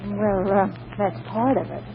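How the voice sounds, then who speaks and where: An actress playing a poised young woman on a date in a 1940s radio drama, gently prompting and composed. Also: mid-range voice, unhurried, gravelly. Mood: coy. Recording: muffled noisy 1940s radio recording.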